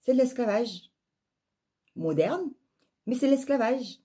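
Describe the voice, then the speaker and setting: A woman's voice: fairly high pitch, lively intonation, slow pace, warm tone, slightly rough. A woman with a southern French accent giving an opinionated, emphatic monologue to viewers, leaning on rhetorical questions and repetition. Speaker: life coach and spiritual vlogger.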